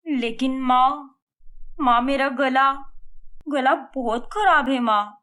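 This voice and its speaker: A woman voicing a sly, dramatic young daughter in an animated storybook, speaking in a pleading, theatrical way. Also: high-pitched, slow pace.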